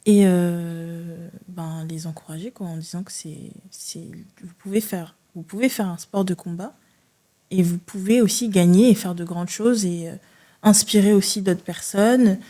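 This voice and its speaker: A woman's voice: on the low side, fairly even intonation, a little slow, warm tone. A woman in her early twenties from France, answering casually and a little hesitantly as an interview guest. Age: early 20s. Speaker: podcast guest, judo athlete.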